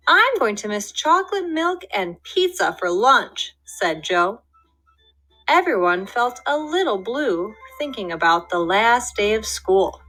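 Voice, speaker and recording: A woman narrates a children's picture book with lively expression, giving the characters' lines a little color. Her voice is bright and crisp and sits slightly high. Soft music plays quietly underneath. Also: rough recording. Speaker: storybook reader. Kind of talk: children's read-aloud narration.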